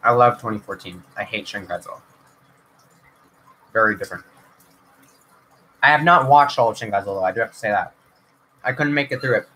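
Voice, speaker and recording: Bright-voiced young man rambling on a livestream. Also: on the low side, a little fast, pauses often, a little hiss or hum, poor audio. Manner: rambling, filler-heavy, frequent restarts. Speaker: YouTube channel host. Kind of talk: casual livestream Q&A.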